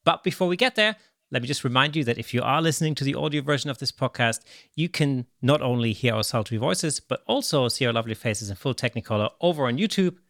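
A man's voice: mid-range voice, lively intonation, fast, a bit bright, no room sound, clean studio sound. A man hosting a podcast in an upbeat, jokey way, introducing a guest. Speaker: podcast host.

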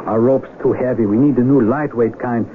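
An actor playing a practical, wry mountain climber and patient friend in a 1970s radio drama, measured and dryly teasing. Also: male voice, medium pitch, natural voice. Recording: narrowband, noisy old radio broadcast.

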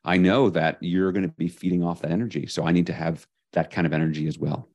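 Deep-voiced man, energetic interview guest. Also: fast talker, dry recording. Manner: energetic, emphatic storyteller. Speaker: podcast guest, leadership author. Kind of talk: casual interview talk.

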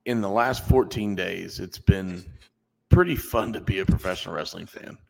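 Gravelly low-voiced podcast co-host. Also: male voice, in a large hall, poor audio. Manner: joking, folksy asides, halting restarts. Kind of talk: casual wrestling review chat.

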